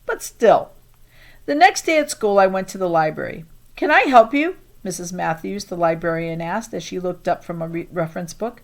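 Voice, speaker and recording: A woman narrating a children's novel in the first person with expression, occasionally stumbling and re-reading words. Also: medium pitch. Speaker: audiobook reader.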